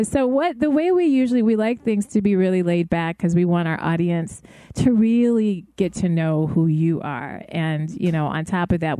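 A woman's voice: on the low side, mellow, dry recording. Enthusiastic woman co-hosting a podcast. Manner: enthusiastic, effusive. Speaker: podcast co-host. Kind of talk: casual chat.

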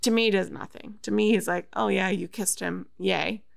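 A woman's voice: mid-range voice, fairly even intonation, normal speed, gravelly. A woman whose first language is Spanish, chatting as a guest on a podcast in a loose, rambling way, swearing freely. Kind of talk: casual chat.

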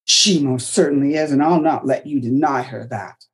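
A woman voicing a protective older caretaker who speaks firmly and chides gently. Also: on the low side, animated, normal speed, pitched above the performer's own voice, close to an everyday voice. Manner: firm, chiding, motherly.

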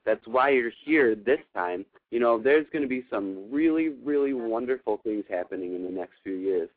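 A man's voice: medium pitch, lively intonation, moderate pace, no room sound. A man hosting a call-in advice show, talking in an earnest, affirming and emphatic way in a spiritual coaching style. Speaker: radio show host giving advice to callers. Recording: narrow, phone-like radio sound.

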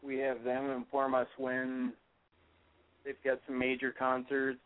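A man's voice: mid-range voice, completely flat delivery, measured pace. Easygoing Minnesota-accented man on phone. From California. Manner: easygoing, hesitant, chuckles. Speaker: guest, music promoter. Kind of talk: phone-in radio interview. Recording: tinny phone line.

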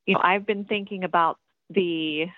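A woman's voice: lowish voice, steady tone, normal speed, dry recording. A chatty woman from Tennessee talks loosely through interview answers, full of ums and asides. Her voice comes over a tinny, phone-like line, dry with no room sound. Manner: chatty, rambling, many ums, occasional swearing. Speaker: metal band singer, interview guest.

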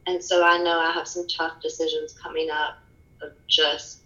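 A soft-spoken woman guest on a podcast, speaking candidly and reflectively in casual conversation. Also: sits low, flat delivery, normal speed, reverberant, dull audio. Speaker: podcast guest, fitness studio owner. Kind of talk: casual interview chat.

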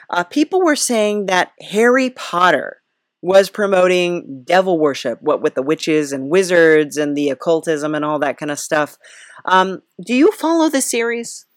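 A woman's voice: lowish voice, very animated, moderate pace, dry recording, clean studio sound. A woman hosting a podcast interview in a casual, playful, rambling way, often hesitant and giggly.

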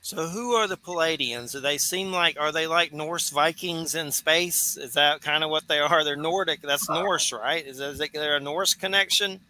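A man interviewing a guest, asking curious, halting questions. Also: on the high side, bright, forceful tone.

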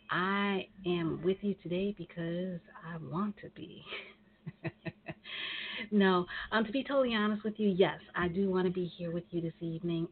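A woman hosting talk radio, chatting casually and a little nasal from a cold, with frequent fillers. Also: lowish voice. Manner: chatty, rambling, with many fillers. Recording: tinny phone line.

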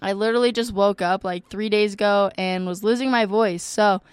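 A young woman hosts a campus radio show, rattling off events in a chatty way with lots of ums. Her voice is wearing out and cracks at times, though its tone stays smooth. The sound is dry and close. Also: mid-range voice, fairly even intonation, moderate pace, no room sound. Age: young adult. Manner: chatty, many ums and likes. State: losing her voice, occasional cracks. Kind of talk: casual radio announcements.

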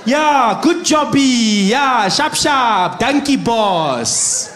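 Loud, patronising petrol-station customer. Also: male voice, extremely high pitch, animated, drawn-out words, reverberant, noisy background, pitched above the performer's own voice, full character voice. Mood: patronising, chummy. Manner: loud baby-talk in broken, put-on accent.

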